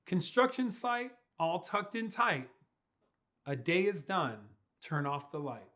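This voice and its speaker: A young man reads a picture book to children. His voice sits high for a man and swings widely in pitch. He takes his time, stretching words out in a playful, expressive style. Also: slow. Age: young adult. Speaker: pastor reading to children. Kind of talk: animated children's storybook read-aloud. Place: small furnished room indoors.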